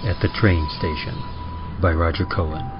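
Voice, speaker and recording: A man playing a grave, theatrical train conductor and storyteller, narrating old-time radio horror in a solemn, deliberate way. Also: deep voice, steady tone, lightly acted. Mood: brooding. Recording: muffled sound with music underneath.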